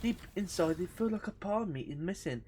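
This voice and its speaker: Animated woman streaming a game, casual. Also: fairly low pitch, fairly quick, slight background noise. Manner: sweary, giggly, rambling. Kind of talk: casual playthrough commentary, reading game text aloud. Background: game music.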